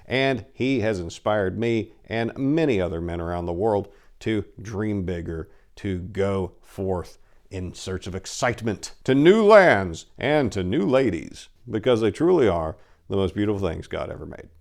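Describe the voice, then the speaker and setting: Male host and story reader, lowish register, highly varied intonation, courtly and theatrical presentation. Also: animated, measured pace, vocal fry, darkish tone. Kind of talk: scripted channel introduction, theatrical narration.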